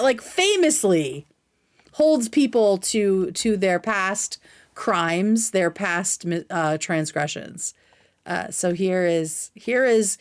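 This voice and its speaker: A woman hosting a casual livestream commentary, speaking in a sarcastic, emphatic way with plenty of slang. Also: mid-range voice. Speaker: livestream host.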